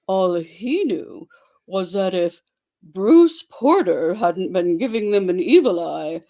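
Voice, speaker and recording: A performer playing a mock romance-novel author, giving a theatrical, deliberate, tongue-in-cheek dramatic reading. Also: mid-range voice, expressive, warm tone. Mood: mock romantic. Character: Fabio Toadface, mock romance-novel author alter ego.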